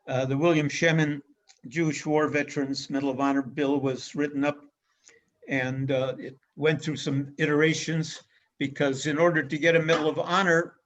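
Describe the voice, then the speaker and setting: Man lecturing over dull lo-fi audio. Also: a little high. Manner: measured delivery with frequent filler pauses. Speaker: guest lecturer on military history. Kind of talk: illustrated history lecture. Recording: dull lo-fi recording.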